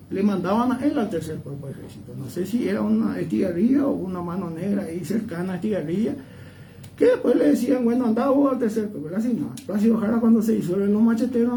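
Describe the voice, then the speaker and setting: A man's voice: high-pitched, vocal fry. A man with a Paraguayan accent giving conversational historical commentary as a guest, digressing and often checking for agreement. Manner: digressive, often seeks agreement with tag questions. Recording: noisy, distant microphone.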